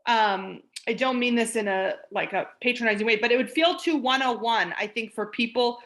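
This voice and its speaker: A woman's voice: fairly high pitch, moderate pace, a bit bright. A woman giving conversational, casual remarks as a webinar panelist, with fillers like "like" and "um". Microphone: video call.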